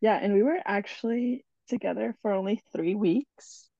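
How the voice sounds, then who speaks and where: A young woman chats as a podcast co-host, explaining things in a friendly, relaxed way. Her tone is mellow and warm. The recording is dry but slightly dull and muffled. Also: mid-range voice, normal speed, vocal fry, no echo. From born in Ecuador, raised in New Jersey. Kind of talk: casual chat.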